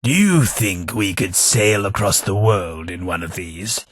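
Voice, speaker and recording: An English actor voicing a posh, sardonic ghoul gentleman companion in a video game, with a plummy, dry delivery. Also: low-pitched, expressive, a little slow, slight background noise. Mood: wry. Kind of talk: game voice lines.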